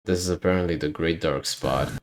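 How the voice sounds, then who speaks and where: A man's voice: very deep voice, fairly even intonation, a little fast. A man giving casual, explanatory commentary as a video host, talking informally as if thinking aloud. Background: music under the voice.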